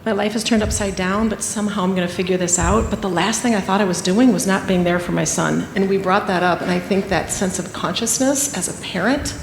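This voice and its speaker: A woman's voice: medium pitch, a little fast, gravelly, few pauses. A woman on a panel, reflecting on her family in a personal way and sometimes searching for words. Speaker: panelist, parent of a caregiving child. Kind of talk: panel discussion. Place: conference hall. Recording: echoey hall with faint room noise.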